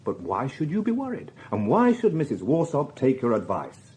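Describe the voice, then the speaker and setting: An actor playing a dry, insistent police inspector with a British accent, questioning in a measured, sardonic way in a radio drama. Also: male voice, medium pitch, huge pitch swings, a little mellow. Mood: dry, probing.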